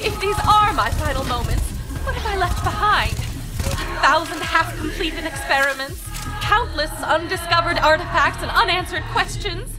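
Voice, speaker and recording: A woman's voice: very high-pitched, expressive, normal speed, poor audio. A woman playing an earnest, melodramatic scientist in a video game, speaking precisely and nerdily. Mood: mournful. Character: earnest, dramatic female scientist, nerdy and defiant. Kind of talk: video game character acting. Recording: loud music behind the voice.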